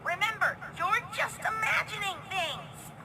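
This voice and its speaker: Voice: very high voice, measured pace, very noisy, pitched above the performer's own voice. A narrator voicing a frightened young female pegasus pony with a trembling, stammering, pleading voice. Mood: nervous self-reassurance.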